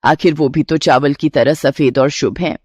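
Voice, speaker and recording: Casting: resourceful older mother-in-law for a cartoon storybook, acted by a woman, low, reassuring, confident, dry close sound. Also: normal speed, breathy edge, no room sound. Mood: kindly, explaining. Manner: calm, confident, affectionate.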